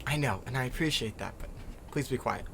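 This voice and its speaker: A man acts a dry, guarded male scholar in an audio drama. He answers tersely in a natural, mid-pitched voice, with slight background noise. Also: fairly quick, vocal fry, a bit bright. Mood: dry, hushed. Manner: terse, dry, clipped replies.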